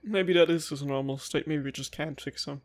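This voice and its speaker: A woman's voice: low voice, moderate pace, background noise. A woman doing casual gameplay commentary as a streamer, with playful, sarcastic quips. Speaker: let's play streamer.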